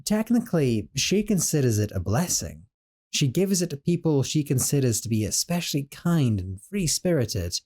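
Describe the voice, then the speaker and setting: A man playing a gentle, stammering sorcerer roommate. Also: on the high side, sing-song, normal speed. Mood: guilty confession. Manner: hesitant, stammering, soothing. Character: young male sorcerer roommate, gentle, nervous. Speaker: audio roleplay performer. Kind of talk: scripted audio roleplay.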